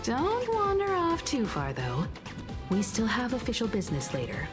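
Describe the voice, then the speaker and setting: A woman's voice: on the high side, wildly swooping pitch, moderate pace, music in the background. A woman playing a composed, mature and wry crew leader in an anime-style game.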